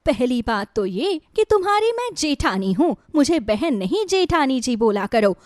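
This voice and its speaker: A woman plays a cruel young elder sister-in-law from a moral storybook, high-pitched and swooping. She talks down in a bossy, scornful, taunting way, in a close, dry sound. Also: big pitch swings, normal speed, dry recording. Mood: haughty, commanding. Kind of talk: dramatized Hindi moral storybook.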